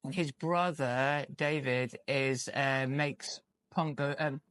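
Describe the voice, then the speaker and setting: A man's voice: mid-range voice, takes it slowly, fairly bright tone, clean tone. A young English man, a musician guest, chatting casually in an interview, swearing and acting out bits of dialogue as he tells stories. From England. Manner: chatty storyteller, swears, acts out quoted dialogue.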